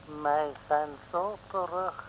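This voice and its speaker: A sly crook from a vintage radio comedy, speaking slowly in a mock Chinese accent. He is exaggeratedly humble and flowery, with a bright, cutting tone on a slightly noisy old recording. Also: fairly high pitch, steady tone. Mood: feigned defeat. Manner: self-abasing, flowery, broken grammar. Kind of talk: 1950s-60s Dutch radio comedy acting.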